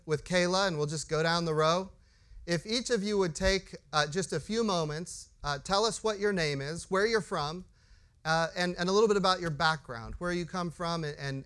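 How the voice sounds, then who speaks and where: Smooth-voiced man hosting a panel. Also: on the high side. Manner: warm, repeats words and restarts often. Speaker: host, agency administrator.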